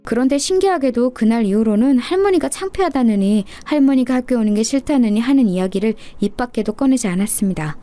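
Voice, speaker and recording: A woman's voice: on the high side, animated, quick pace, hardly any pauses, dark tone. A woman reading a scripted first-person story as a narrator in a radio-story style.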